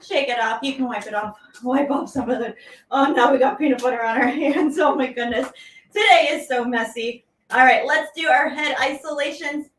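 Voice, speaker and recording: A woman with a bright, very high voice teaches a children's movement class. She is playful and exclamatory, drawing words out as she gives easy instructions. The sound is echoey, as if she stands back from the mic in a room. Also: moderate pace. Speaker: teaching artist leading a children's warm-up. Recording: roomy echo, distant from the mic.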